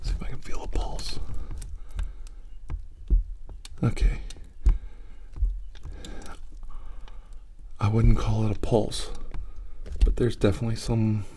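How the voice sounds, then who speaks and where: A man playing a calm 1940s army doctor in an improvised ASMR role-play, muttering and often whispering reassurance. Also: lowish voice, fairly quick, close to an everyday voice. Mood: focused, urgent. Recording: noisy recording with clicks.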